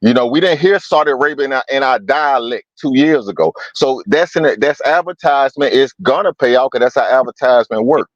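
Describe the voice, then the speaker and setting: A man's voice: medium pitch, fairly quick, few pauses, no room sound. Man pushing back over dull call audio. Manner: pushes back, builds argument with examples. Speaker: panelist. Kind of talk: live-stream panel debate.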